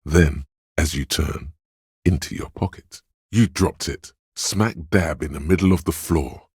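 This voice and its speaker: A man voicing a detective's sly inner sense of touch as scripted game narration, gravelly and husky, measured and insinuating. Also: very deep voice, a little mellow, dry recording, studio quality, full character voice. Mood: sly, intrigued.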